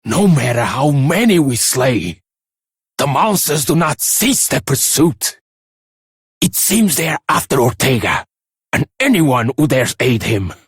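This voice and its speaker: An actor playing an earnest, seasoned warrior reflecting solemnly in a video game journal monologue. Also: male voice, medium pitch, sing-song, a little slow, slightly rough, dry recording, studio quality, heavily acted. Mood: weary resolve.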